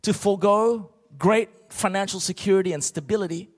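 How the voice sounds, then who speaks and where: Male preacher, middle-aged, Australian accent. Notably high voice, emphatic comic storyteller, big reverberant hall. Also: brisk. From Australia, born in Malaysia. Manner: emphatic, rhetorical questions to the congregation. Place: large church auditorium, speaking to a congregation.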